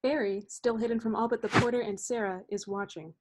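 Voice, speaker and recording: A woman's voice: a little high, steady tone, brisk. A woman reading scene headings and stage directions as scripted narration for a play. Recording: clearly noisy video-call audio, clicks.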